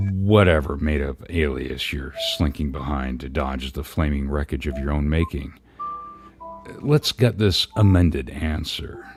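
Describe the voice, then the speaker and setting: A man giving a sarcastic, theatrical reading of a mocking open letter as a live stream commentator. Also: very deep voice.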